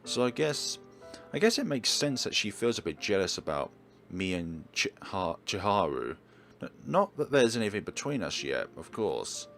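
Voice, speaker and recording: A man voicing an awkward, earnest high school protagonist who speaks hesitantly in a visual novel. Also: very animated, creaky, a bit bright, faint music behind, exaggerated voice. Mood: nervous, apologetic. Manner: hesitant, halting, stammering.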